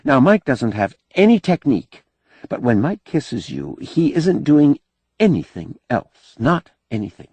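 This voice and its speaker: Audiobook role: frank, dreamy woman played by a man, raised pitch, very animated, teasingly emphatic. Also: a little slow, breathy edge, dry recording. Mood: dreamy, admiring. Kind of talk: audiobook character dialogue.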